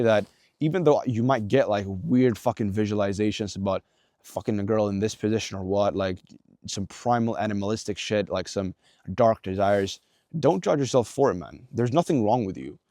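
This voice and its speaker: A young man talks through a casual self-help monologue in a lowish, mellow voice, blunt and profane, with lots of fillers and restarts. The sound is dry and close, with no room ring. He coaches the listener in an earnest tone. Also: a little fast, no room sound. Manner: blunt, heavy swearing, many fillers and restarts; earnest, coaching tone aimed at viewer. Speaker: self-improvement vlogger.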